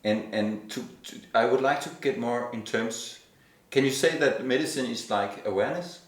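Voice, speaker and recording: A man's voice: mid-range voice, normal speed. A Danish man hosting a podcast interview, asking questions in a hesitant way full of restarts. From Denmark. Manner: hesitant, with repeated restarts and fillers. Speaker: podcast host. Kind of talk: conversational interview. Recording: echoey room, distant mic.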